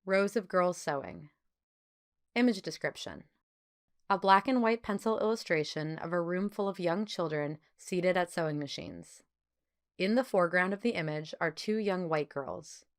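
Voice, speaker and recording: A woman reading a children's story as an audiobook narrator, with clear phrasing and expressive delivery. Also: lowish voice, moderate pace.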